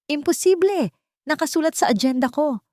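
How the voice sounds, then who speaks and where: Elderly wealthy matriarch for audio melodrama, played by a woman: commanding, indignant, raised pitch, dry sound. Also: expressive, normal speed, mellow, dry recording. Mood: angry.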